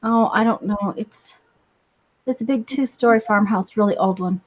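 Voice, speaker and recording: A woman's voice: mid-range voice, normal speed. A woman chatting casually in a hesitant, rambling way. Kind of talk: casual chat. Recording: slightly dull, lo-fi sound.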